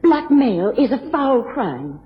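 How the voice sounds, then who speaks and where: A woman's voice: on the high side, big pitch swings, slow pace. A woman playing a distraught wife in a radio drama, anguished and imploring, repeating herself. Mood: anxious. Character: distraught, pleading wife of a blackmailed man. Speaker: actress in a radio mystery. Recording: muffled, long reverb, faint noise.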